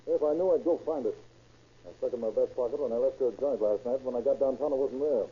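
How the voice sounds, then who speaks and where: A man's voice: mid-range voice, fast talker, breathy edge, lightly acted. A man playing a glib, evasive petty crook in an old radio crime drama, breezy and slangy. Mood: evasive, uneasy. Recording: tinny, band-limited old radio recording.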